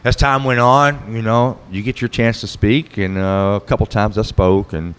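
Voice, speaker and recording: A middle-aged man with a loud, carrying voice, answering interview questions casually as a city council candidate at an outdoor bar stage. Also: lowish voice, fairly even intonation, moderate pace, smooth, long reverb tail.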